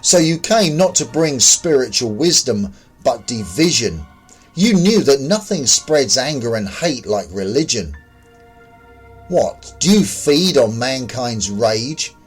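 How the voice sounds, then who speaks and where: A man's voice: medium pitch, sing-song, takes it slowly, husky, soft background music, full character voice. A man voicing a defiant human man in an audio story, earnest and accusing. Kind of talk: audiobook character dialogue.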